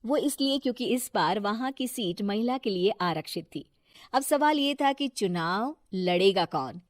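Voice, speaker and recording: A woman's voice: medium pitch, animated, moderate pace, dry recording, studio quality. A woman giving scripted narration as the storyteller of a Hindi cartoon moral tale.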